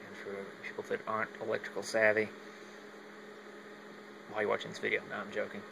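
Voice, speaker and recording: A man giving a casual, unscripted hobbyist walkthrough on a phone, halting as he thinks aloud. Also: lowish voice, monotone, creaky, noisy background. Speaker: hobbyist demonstrating a home radio antenna setup. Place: at home, moving between indoors and outside. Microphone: handheld phone while filming.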